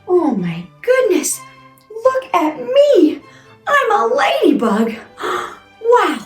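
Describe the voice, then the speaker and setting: A woman's voice: very high voice, wildly swooping pitch, drawn-out words. A woman presenting playful science lessons and read-alouds for children in an exaggerated, questioning, exclamatory way. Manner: exaggerated, exclamatory, asks questions of young listeners. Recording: roomy echo with music underneath. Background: music.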